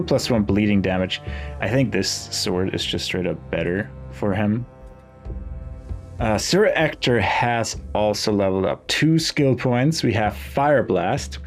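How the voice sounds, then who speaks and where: A man with a low voice and a noticeable fry talks through a game in a casual way. He muses aloud and hesitates between thoughts, reading bits of game text as he goes. Music plays faintly behind him. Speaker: gaming video host.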